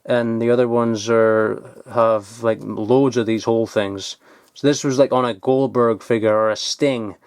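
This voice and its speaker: A man, chatting casually and excitedly while digging through old toys, rambling and swearing now and then. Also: medium pitch, a little slow, clear, resonant tone, no echo. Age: 20s. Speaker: toy collector vlogger. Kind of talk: casual unboxing chat.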